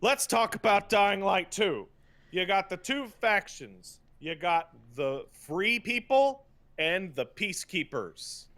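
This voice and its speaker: Higher-pitched man, emphatic podcast ranter. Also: expressive, a bit bright. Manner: emphatic, profane, halting with repetitions and mocking paraphrases. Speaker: podcast host. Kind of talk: casual ranting commentary about video games.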